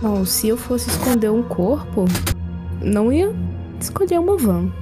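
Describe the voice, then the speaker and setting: A woman's voice: on the high side, sing-song, measured pace. A woman with a Brazilian accent, chatting casually in character as a player in a tabletop game. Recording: music behind the voice.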